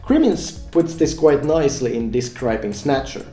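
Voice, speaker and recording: A man's voice: mid-range voice, big pitch swings, moderate pace, warm tone, roomy sound, faint music behind. A man hosting a scripted explainer vlog, addressing viewers informally as he explains things step by step. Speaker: game developer and vlog host.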